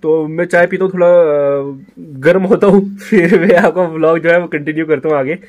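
A man's voice: on the high side, steady tone, moderate pace, a little mellow, no room sound. A young man chatting casually to his phone camera on a cold, rainy hillside, vlogging a motorbike trip. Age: young adult. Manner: chatty, talks to camera while riding trip. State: feeling cold. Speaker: travel vlogger and biker. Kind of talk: casual travel vlog. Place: outdoors on a rainy hillside camp. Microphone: handheld phone selfie.

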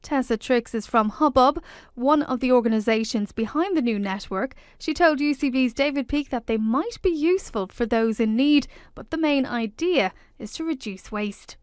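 A woman's voice: high-pitched, lively intonation, moderate pace, few pauses, smooth, no room sound, clean studio sound. A woman presenting radio news, reading a scripted report.

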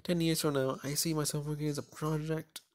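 A man's voice: fairly high pitch, flat delivery, a little fast, pitched above the performer's own voice, close to an everyday voice. A proud, prickly anime schoolgirl, acted out by a man, in a raised girlish voice. She is bossy and ambitious, the popular girl of a visual novel game. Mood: rehearsed, pageant-like.

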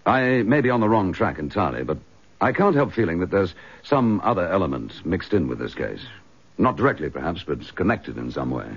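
A dogged, tired British chief inspector in a radio play. His voice is low and somewhat husky, with lively inflection. He is understated and dryly affable, heard on a dull lo-fi recording. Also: a little fast, full character voice. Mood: deferential, hesitant. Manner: hesitant, understated, wry. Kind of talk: naturalistic radio drama acting.

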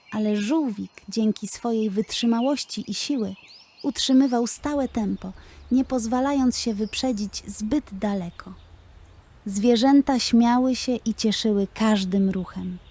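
A woman reads a children's bedtime story as narrator. Her voice is fairly high, and she takes the scripted lines very slowly. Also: mellow.

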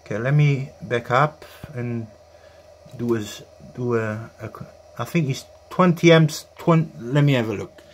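A man giving an informal hands-on demonstration as a tutorial host, speaking in a halting, hesitant way. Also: medium pitch, highs cut off, a little hiss or hum. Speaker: host of a hobby radio tutorial. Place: small indoor workbench area.

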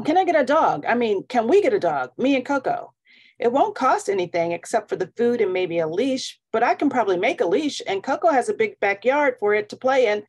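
A woman's voice: a little high, sing-song, fairly quick, a little mellow, slightly rough, voice pushed up. A woman voicing an eager, pleading young girl in a children's storybook. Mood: excited pleading. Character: excited pleading young girl who wants a dog.

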